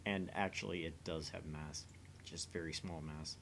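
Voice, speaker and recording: A man's voice: very deep voice, fairly even intonation, moderate pace, creaky, fairly bright tone, slight background noise. A man doing an acted reading of dialogue and narration from a visual novel game. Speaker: voice reader in a visual novel playthrough.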